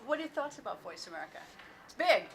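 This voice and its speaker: A woman's voice: sits high, very animated, rapid-fire, gravelly, slight background noise. A woman interviewer asking direct, probing follow-up questions.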